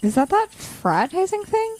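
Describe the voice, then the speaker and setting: A woman's voice: very high voice, sing-song, a little slow, darkish tone, no echo, exaggerated voice. A woman playing a sarcastic young college student villain in a naturalistic audio drama, with a dry, teasing manner. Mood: casual. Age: young adult.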